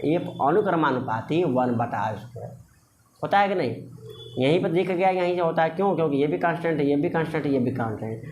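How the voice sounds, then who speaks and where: Male physics tutor, fairly high voice, repetitive question-and-answer explaining, noisy ambient recording. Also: a little mellow. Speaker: physics teacher for exam candidates. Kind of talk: informal explanatory lesson, working through numericals.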